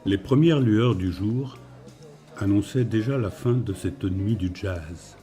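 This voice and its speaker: Male narrator for literary audio fiction: deep voice, soft dark tone, measured delivery, faint music underneath. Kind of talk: scripted literary storytelling narration.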